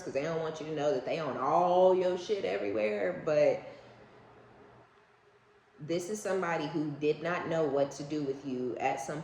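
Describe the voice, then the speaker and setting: An American woman giving a casual, unscripted tarot reading to viewers in a blunt, sassy, slangy way, swearing freely and often checking in with short tag questions. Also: low voice. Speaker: tarot reader, online host. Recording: echoey room with faint background noise.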